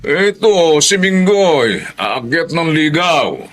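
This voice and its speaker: A man playing a folksy, chiding middle-aged father in a Filipino radio drama, unhurried and expressive, with a Filipino accent. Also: fairly high pitch, heavily acted. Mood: welcoming.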